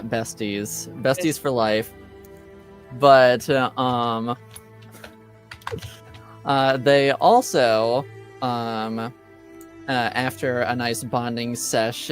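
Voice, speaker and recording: A man hosting a tabletop roleplaying game as game master, recapping casually and haltingly as he searches for words. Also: medium pitch, steady tone, soft background music.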